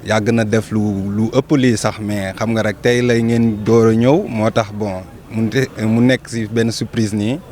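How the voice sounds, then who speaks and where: A man's voice: lowish voice, level tone, measured pace, few pauses, a little mellow, a little hiss or hum. A man with a Senegalese accent giving a spontaneous, sincere testimonial and repeating himself as he goes.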